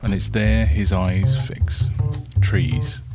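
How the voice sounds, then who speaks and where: Deep voiced guy reading a story real slow, dramatic pauses, short phrases, loud guitar music behind. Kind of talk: slow, hushed scripted story narration. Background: soft plucked guitar soundtrack.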